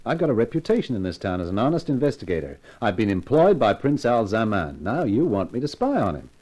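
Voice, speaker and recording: Hard-boiled private eye, wisecracking, slangy, lowish pitch, animated intonation, 1950s radio drama. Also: male voice, fast talker. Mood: breezy, cocky. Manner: breezy, slangy, wry. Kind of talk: 1950s radio detective acting with narration.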